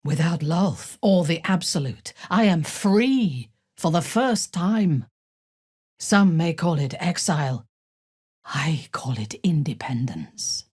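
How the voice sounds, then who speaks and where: A woman playing a ruthless, imperious female warrior commander in a fantasy video game, measured and haughty, with a husky, breathy voice and coldly seductive menace. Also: low-pitched, animated, a little mellow, dry recording, studio quality, exaggerated voice. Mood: proud, resolute.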